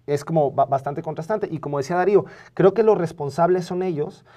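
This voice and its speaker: A man with a Mexican accent speaking as a co-host in an analytical studio discussion, earnest and halting, often repeating words. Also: on the high side, mellow. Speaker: co-host, panelist.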